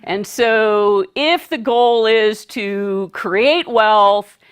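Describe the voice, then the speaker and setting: A woman addresses a seminar audience in an unhurried, deliberate way. She projects her voice to reach the back of the room and keeps asking the listeners questions. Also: medium pitch, clear, resonant tone. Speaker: speaker. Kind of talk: seminar talk to a live audience.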